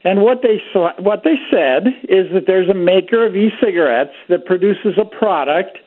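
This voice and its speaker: Doctor guy calling in from pennsylvania, kinda high voice, tinny phone line, relaxed storyteller. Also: normal speed. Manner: easygoing storyteller, relaxed and chatty. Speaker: physician guest. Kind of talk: casual radio chat. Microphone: phone call.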